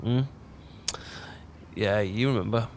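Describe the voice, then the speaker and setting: A man's voice: fairly low pitch, slow pace, darkish tone, a little hiss or hum. A man with an English accent acting a bashful, affectionate young roommate in an intimate ASMR roleplay, chatty and stammering when flustered. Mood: warm, chatty. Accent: British, English. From England. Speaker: audio roleplay voice actor.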